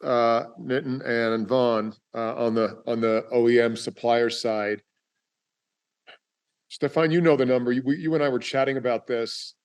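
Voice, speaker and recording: A man moderates a webinar round table, turning to panelists with questions. His voice is mid-pitched and conversational, and he speaks in a relaxed, asking manner. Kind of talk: panel discussion.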